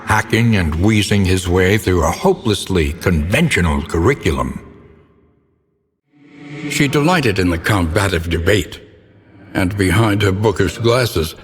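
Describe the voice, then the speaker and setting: An actor playing a grave, ominous narrator of gothic horror tales in a video game, with a solemn, theatrical and deliberate delivery. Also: male voice, deep voice, animated. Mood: grave, macabre. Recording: long cavernous reverb, noticeable background noise.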